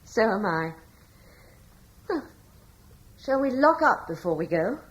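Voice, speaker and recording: An actress playing a devout, gentle young wife in a radio drama, speaking in an earnest, tender and slightly hesitant way. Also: female voice, mid-range voice, big pitch swings, takes it slowly, breathy edge, full character voice, poor audio. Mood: calm, tender. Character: devout, gentle young wife in ancient times, calmly reassuring.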